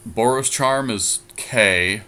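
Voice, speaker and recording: A man's voice: mid-range voice, takes it slowly, bright, forceful tone. A man co-hosting a podcast, talking through card picks in casual chat, thinking aloud with many fillers. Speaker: podcast co-host.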